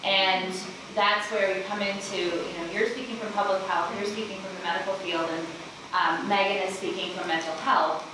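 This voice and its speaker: A woman's voice: mid-range voice, fairly even intonation, moderate pace. A middle-aged woman, a nonprofit director, speaking from the audience during a panel question session. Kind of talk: introducing herself and asking a question at a panel Q&A. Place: seated in conference room audience, indoors. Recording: very echoey room, distant mic, noisy ambience.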